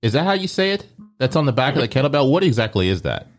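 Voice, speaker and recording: A man hosting a casual interview, relaxed and curious, with casual slang. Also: medium pitch, fast, soft background music. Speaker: host.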